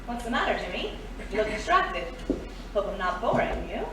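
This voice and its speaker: A woman's voice: on the high side, sing-song, moderate pace, pushed and bright. An actress playing a jealous, scheming woman in a period noir radio drama, dramatic and pleading. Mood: breezy, sly. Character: desperate scheming jealous woman, old flame of a crook. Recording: roomy, distant, faint background noise.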